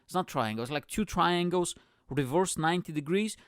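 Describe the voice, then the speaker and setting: A young man from Bulgaria, a podcast host telling stories in a rambling, profane, animated way. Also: on the high side, a little fast, clear, resonant tone, no room sound, studio quality. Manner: profane, rambling, animated, constant "you know what I'm saying". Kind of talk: casual storytelling monologue.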